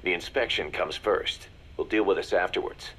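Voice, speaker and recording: A man's voice: low-pitched, normal speed, a bit bright, a little hiss or hum. A man playing a shrewd, hard-nosed mercenary second-in-command, assured and businesslike, in a video game audio drama. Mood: cool, pragmatic.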